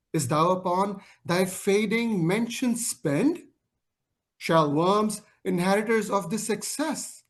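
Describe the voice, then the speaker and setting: Man, native Urdu speaker, reciting slowly. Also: high-pitched. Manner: hesitant with fillers when chatting, drawn out when reciting. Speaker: poetry reader. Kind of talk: conversational intro, then slow sonnet recitation.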